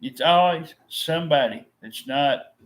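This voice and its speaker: A man's voice: a little high, unhurried, slight background noise. A middle-aged man venting to his viewers, frustrated and repetitive, often restarting his sentences. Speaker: vlogger. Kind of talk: rambling to-camera vent.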